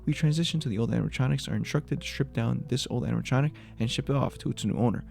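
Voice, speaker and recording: A man's voice: medium pitch, very fast, gravelly, darkish tone. A man giving a scripted breakdown of a horror video as its creator, in voice-over narration.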